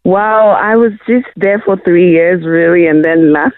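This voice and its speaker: A woman's voice: on the low side, a little slow, no room sound. A woman from Zimbabwe, a singer-songwriter guest, answering interview questions with many hesitations. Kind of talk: casual interview. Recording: tinny phone-like line.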